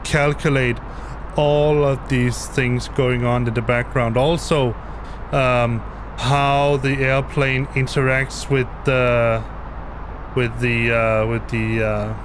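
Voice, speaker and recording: A man's voice: medium pitch, level tone, slow pace, background noise. A man whose first language is Danish, explaining something on a live stream and often hesitating, restarting and repeating words. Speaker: flight sim streamer. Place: home basement.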